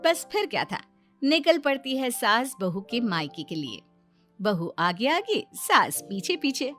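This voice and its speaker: A woman tells a children's story in a fairly high voice. Her delivery is scripted narration, with clear music playing underneath. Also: big pitch swings, unhurried, fairly bright tone.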